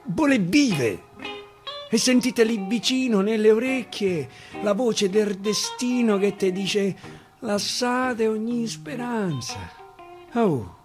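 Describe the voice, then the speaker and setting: A male stage actor with a Roman accent recites dialect verse theatrically, swinging from hushed to loud. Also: high voice, expressive, takes it slowly. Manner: dramatic, swinging from hushed to shouting. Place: radio studio. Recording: clear guitar music underneath. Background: plucked guitar accompaniment.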